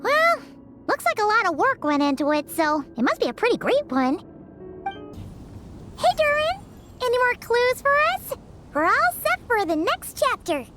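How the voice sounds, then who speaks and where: A woman voicing a small, chirpy, childlike fairy companion in an anime-style video game, exclamatory and excitable. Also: very high-pitched, moderate pace, pauses often, soft background music, full character voice. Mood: curious. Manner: exclamatory, refers to self in third person.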